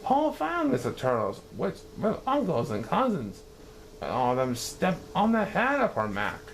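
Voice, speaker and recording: A man reading a rhyming children's story aloud in a sing-song, animated way. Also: a little high, moderate pace, a little hiss or hum, poor audio.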